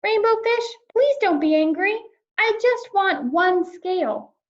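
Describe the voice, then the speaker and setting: A woman voicing an eager little male blue fish in a storybook read-aloud, begging in a high, breathless way. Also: expressive, slow, muffled recording, full character voice. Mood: pleading.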